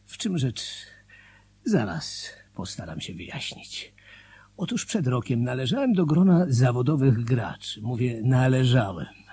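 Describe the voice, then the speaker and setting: An actor in a radio drama playing a solemn, grateful former gambler, confiding in a husky, whispery voice. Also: male voice, medium pitch, mellow, heavily acted. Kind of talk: Polish radio drama monologue, dramatic reading.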